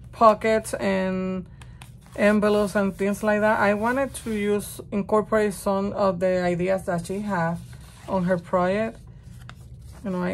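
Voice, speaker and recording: Raspy-voiced woman with a cold. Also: medium pitch, slight background noise. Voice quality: rough, raspy voice. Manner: slow, chatty, thinking aloud while working. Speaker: crafting channel host. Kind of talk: casual craft tutorial talk.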